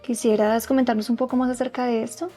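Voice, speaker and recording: A woman hosting a television interview, polite and measured as she gently leads her guest. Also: on the high side, flat delivery, faint music behind. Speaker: TV show host.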